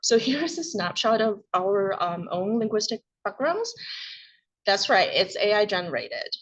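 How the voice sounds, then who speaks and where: A woman giving an academic conference presentation in a measured way, with occasional filler sounds. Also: mid-range voice, very animated, fairly bright tone. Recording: dull lo-fi recording.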